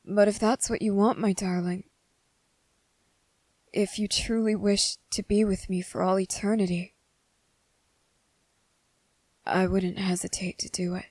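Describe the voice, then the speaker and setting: A woman's voice: medium pitch, flat delivery, dry recording, close to an everyday voice. Woman voicing a devoted, possessive vampire man. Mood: tender, grave. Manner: slow, soft-spoken and intimate, slipping into whispers. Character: young male vampire, devoted and possessive lover. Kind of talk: ASMR roleplay.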